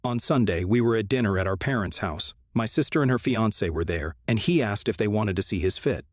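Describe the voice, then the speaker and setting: A man with a fairly low voice reads forum stories aloud, steady and even in tone. The recording is dry, with no room sound at all. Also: a little fast, no echo. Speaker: narrator. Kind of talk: reading online forum posts aloud.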